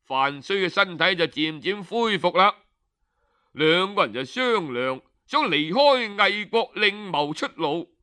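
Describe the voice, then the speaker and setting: A man from telling a story in an expressive, colloquial oral storytelling style, drawing out his phrase endings. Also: sits high, measured pace, clear, resonant tone. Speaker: storyteller, audiobook narrator. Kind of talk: traditional Cantonese oral storytelling narration.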